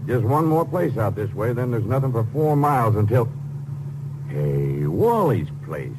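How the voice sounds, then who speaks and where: An actor playing a folksy, plain-spoken, laconic small-town sheriff in a 1950s radio crime drama. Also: male voice, mid-range voice, big pitch swings, measured pace. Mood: musing. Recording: noisy, humming old radio recording.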